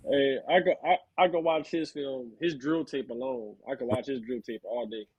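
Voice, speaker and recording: A man's voice: medium pitch, steady tone, normal speed, a little mellow, slightly rough, dull audio. An American man chatting casually as a sports panelist, slangy and enthusiastic like a devoted fan. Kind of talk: casual chat about football prospects.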